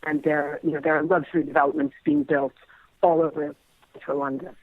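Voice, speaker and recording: A man's voice: a little high, level tone, brisk, narrow, tinny audio. A man speaking reflectively as an interviewed playwright, hesitant and repeating words as he searches for phrasing.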